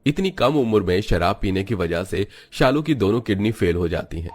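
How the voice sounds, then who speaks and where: Low-voiced male storyteller. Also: lively intonation, normal speed, a little mellow. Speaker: storyteller, narrator. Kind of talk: scripted story narration.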